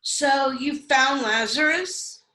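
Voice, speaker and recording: A woman's voice: high voice, slow, sharp tone, full character voice. A woman playing a breezy, self-aware, joking woman in a staged reading with roomy sound. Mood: wry.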